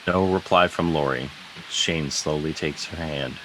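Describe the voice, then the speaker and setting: A man's voice: very deep voice, unhurried, fairly bright tone, slight background noise, low-quality recording. A man narrating stage directions for an online table read of a comic, in a scripted style. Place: video call. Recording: online video call.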